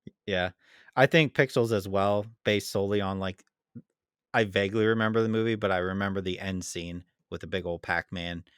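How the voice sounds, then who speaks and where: A man's voice: deep voice, steady tone, a little fast, a bit bright, smooth, no room sound, clean studio sound. A man hosting a casual podcast debate in an easygoing, agreeable, chatty way. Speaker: podcast host. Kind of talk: casual chat, moderating a debate.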